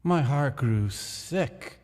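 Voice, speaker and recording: A man reading a gothic horror story aloud in a slow, deliberate, theatrical manner, heard in a long echoing hall. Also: medium pitch, lively intonation, darkish tone. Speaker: reader of a gothic tale. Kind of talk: dramatic literary narration.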